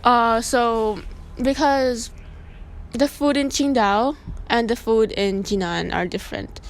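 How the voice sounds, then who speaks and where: A young woman from Laos talks through her answers in a loose, rambling manner, full of fillers. Her voice sits a little high. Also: slow, rough recording. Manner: relaxed, rambling, with many fillers. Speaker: interview guest, student. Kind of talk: casual interview answers.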